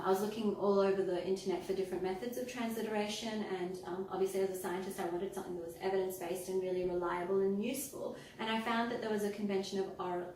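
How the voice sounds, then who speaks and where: A woman's voice: medium pitch, darkish tone, a little hiss or hum. Woman, Persian Australian accent, earnest explainer, many ums, very echoey room, distant mic. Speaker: guest, children's book author and pharmacist. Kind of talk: interview answers.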